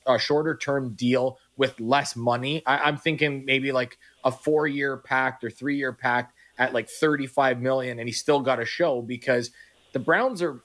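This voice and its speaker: A man's voice: medium pitch, fairly bright tone. A man hosting sports radio, talking casually and thinking aloud with "like" and restarts.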